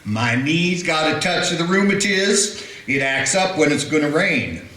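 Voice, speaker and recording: A man's voice: a little high, expressive, unhurried, sharp tone. A man playing a superstitious frontier deputy who talks in a homespun, stubborn way. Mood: insistent. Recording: echoey room, faint noise.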